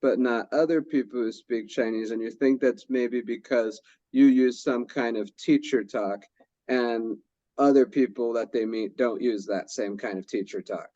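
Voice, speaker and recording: A man's voice: mid-range voice, a little slow, warm tone, no room sound. A man presenting a workshop who guides the discussion and rephrases what participants say. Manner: sums up and rephrases what others say. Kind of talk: leading a group discussion. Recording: dull lo-fi sound.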